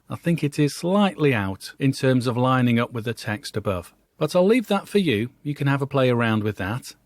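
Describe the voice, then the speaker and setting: Man teaching software, very dry close sound. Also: mid-range voice, dry recording. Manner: conversational, explains step by step. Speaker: software tutorial instructor. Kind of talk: instructional screen-by-screen walkthrough.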